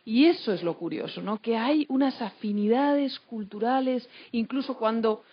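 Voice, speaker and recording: A woman's voice: a little high, normal speed. A woman from Madrid with a Spanish accent from Spain, speaking as a teacher on a panel in a talkative, anecdotal way with frequent tag questions. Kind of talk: unscripted panel discussion. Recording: dull, lo-fi event recording.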